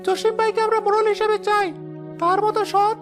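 An outspoken poor villager in a cartoon, voiced by a woman. The voice is extremely high and rattles along quickly with little melody, over faint music. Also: little pitch movement. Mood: indignant. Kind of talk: Bengali moral cartoon dialogue.

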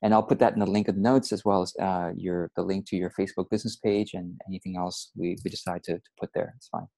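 A man interviewing a client on a video call from a room with bookshelves, asking relaxed, encouraging questions. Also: low-pitched, fast talker. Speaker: interviewer, business coach. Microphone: earphone mic on a video call.

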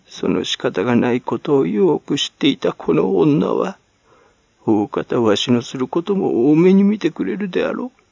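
A man voicing a croaking, gasping old woman who mutters excuses for herself in rustic, archaic speech, in a storybook reading. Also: a little high, darkish tone, no echo, voice pushed up, heavily acted. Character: croaking, muttering, self-justifying old hag in rags.

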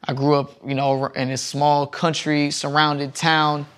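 A man's voice: on the high side, level tone, unhurried, a bit bright. A young man guesting on a podcast, telling rambling stories in casual chat with many restarts, on a lapel mic in a studio lounge. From Southern United States. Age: young adult.

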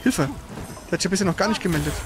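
A man's voice: sits high, very fast, over music. A man giving casual, colloquial gaming commentary as he plays, exclaiming at the game. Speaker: gamer, let's play host.

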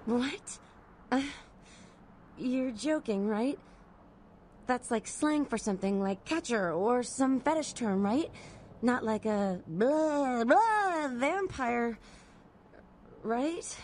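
An actress playing a devoted, naive young college student in a video game, speaking in a hesitant, pleading way. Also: female voice, on the high side, expressive, takes it slowly, long pauses, a little mellow, slight background noise. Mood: nervous disbelief. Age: young adult.

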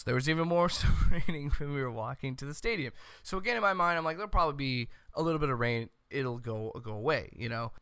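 An American man in his thirties hosting a sports show, loud and opinionated in a comedic commentary style. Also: mid-range voice, clear, resonant tone, no room sound. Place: small home room, indoors. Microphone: close desk microphone on boom arm.

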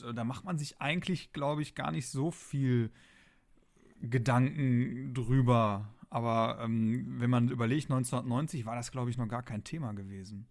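A man in his thirties, a podcast host chatting casually and informally. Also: medium pitch. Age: 30s.

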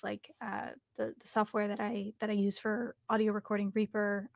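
A young adult woman speaking thoughtfully and haltingly as she answers interview questions. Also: mid-range voice, vocal fry, no room sound, studio quality. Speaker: actor and audiobook narrator, guest. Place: home recording studio, video call.

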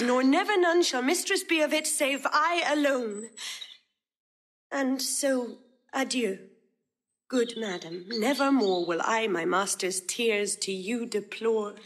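A young woman disguised as a youth in a classical audio drama. Her voice is fairly high, and she speaks the verse with earnest, guarded restraint. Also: fairly bright tone, full character voice, rough recording.

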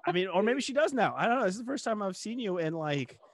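Animated young man hosting a podcast. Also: high-pitched, fast talker. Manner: animated, talks with his hands. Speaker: podcast host. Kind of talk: casual interview chat. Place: home studio room. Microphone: studio mic on boom arm, headphones.

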